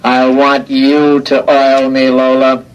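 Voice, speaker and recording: An actor playing an obedient, childlike iron robot in a radio drama, speaking in a measured monotone. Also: male voice, mid-range voice, muffled recording, a little hiss or hum, lightly acted. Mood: naively curious. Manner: flat, measured monotone delivery. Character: slow, obedient, childlike iron robot henchman, naively curious. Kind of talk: old-time radio drama acting.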